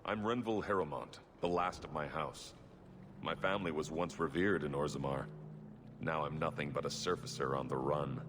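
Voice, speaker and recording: A man's voice: rumbling low, normal speed, background noise. A man voicing a proud, grave exiled dwarven nobleman in a video game, formal and solemn.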